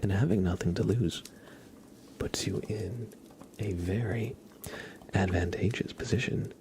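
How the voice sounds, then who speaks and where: A man hosting an ASMR livestream, whispering softly and casually close to the microphone, drawing out and repeating words. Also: deep voice, background noise. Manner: soft, drawn-out, repeats words slowly.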